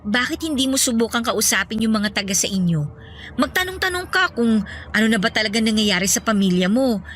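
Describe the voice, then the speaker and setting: Bright-voiced, concerned Filipina girlfriend. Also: fairly high pitch, very animated, fairly quick, a little hiss or hum. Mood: concerned, coaxing. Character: concerned, outspoken young girlfriend, practical and caring. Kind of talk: radio drama dialogue.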